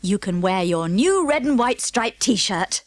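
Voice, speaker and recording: A woman voicing a gentle, motherly bear in a children's storybook, speaking with careful deliberation. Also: medium pitch, animated, measured pace, no echo, close to an everyday voice. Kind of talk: children's storybook character reading.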